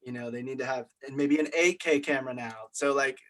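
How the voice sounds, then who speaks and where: A man's voice: medium pitch, a little fast, fairly bright tone. A man leading a group discussion, explaining things in an informal, conversational way. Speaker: group meeting leader.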